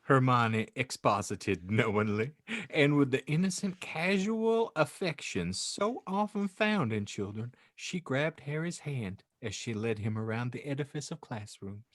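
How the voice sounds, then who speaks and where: A man's voice: medium pitch, expressive, measured pace, a bit bright, no echo, clean studio sound. A man narrating a fan fiction aloud as a podcast host, reading theatrically with wry comic asides. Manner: theatrical, wry, breaks into laughter. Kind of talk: comedic dramatic reading with asides.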